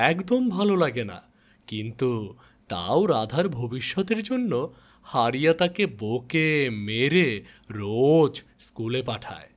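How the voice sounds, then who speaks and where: A man's voice: medium pitch, a bit bright, a little hiss or hum. A man narrating a scripted moral tale for children in a dramatic storytelling style.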